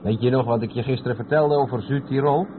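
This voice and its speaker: An actor playing a calm, knowledgeable truck driver who explains patiently in a radio play. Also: male voice, mid-range voice, quick pace, close to an everyday voice. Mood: calm, instructive. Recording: tinny noisy old radio play recording.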